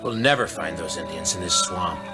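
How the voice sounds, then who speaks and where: A man's voice: deep voice, normal speed, close to an everyday voice. A man playing a stern, exasperated army major in a 1950s audio adventure, curt and complaining. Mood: weary, exasperated. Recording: 1950s record drama, music behind.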